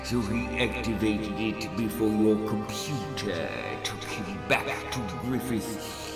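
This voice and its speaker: An actor playing a vengeful, gloating trickster spirit with menacing, drawn-out theatrical delivery in an audio drama. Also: male voice, mid-range voice, close to an everyday voice. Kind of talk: fan audio drama acting. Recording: very echoey, music underneath.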